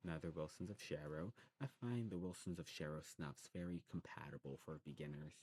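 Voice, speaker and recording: A man playing a courteous old demon shopkeeper in an ASMR roleplay, talking to the listener in a genteel way and musing with hums and pauses. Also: a bass voice, darkish tone, husky. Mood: musing, generous.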